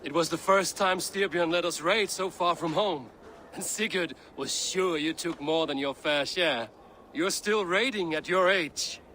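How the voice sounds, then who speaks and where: A man's voice: a little high, a little slow, pushed and bright, a little hiss or hum. An actor playing a stern, blunt male Viking warrior in a video game cutscene. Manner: blunt, stern, honor-bound.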